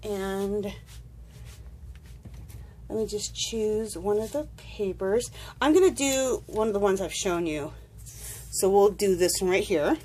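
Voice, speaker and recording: A woman's voice: mid-range voice, lively intonation, slow, pauses often, dark tone. A woman chatting her way through a craft tutorial, rambling and doubling back as she works. She has a cold and sniffles now and then. Her voice sits mid-range, and a faint hiss of room noise hangs behind it. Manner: chatty, rambling, self-correcting. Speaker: crafting vlogger. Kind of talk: casual tutorial chat. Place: home craft table, indoors.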